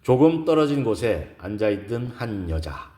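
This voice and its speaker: A man narrating a romantic audio drama in a scripted, slow and solemn style, with measured pauses. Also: fairly low pitch, big pitch swings, warm tone.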